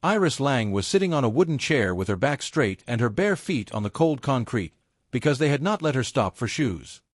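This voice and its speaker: A man narrates a dramatic story in the manner of an audiobook. His pitch moves freely through each sentence, and the sound is dry, with no room around it. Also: big pitch swings, moderate pace, no echo.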